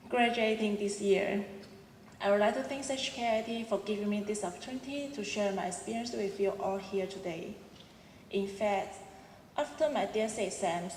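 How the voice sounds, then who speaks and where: A woman's voice: medium pitch, darkish tone, slight background noise. Young woman with a Cantonese accent. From Hong Kong. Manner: earnest, reading with small restarts. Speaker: student speaker. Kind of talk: prepared ceremony speech. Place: large auditorium. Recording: echoey hall, roomy reverb.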